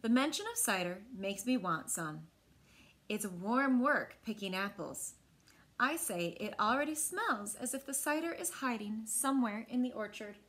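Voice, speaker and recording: A woman in her thirties with an American accent, reading a picture book aloud to children with expressive, clearly phrased delivery. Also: on the high side, measured pace. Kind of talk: children's read-aloud storytelling. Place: small indoor room.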